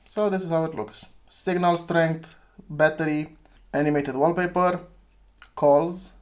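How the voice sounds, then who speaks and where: A man's voice: fairly high pitch, steady tone, measured pace. Chatty man reviewing an old phone. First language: Romanian. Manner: chatty, thinks aloud, laughs at own slips. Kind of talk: casual hands-on review.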